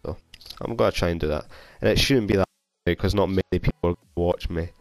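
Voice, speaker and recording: Scottish man, young, low voice, bit of a cold, rambly self-mocking game chat, faint game music behind. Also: fast talker, no echo. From Scotland. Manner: rambling, self-deprecating, chatty. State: has a cold. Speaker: gaming YouTuber. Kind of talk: casual gameplay commentary. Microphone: console headset mic.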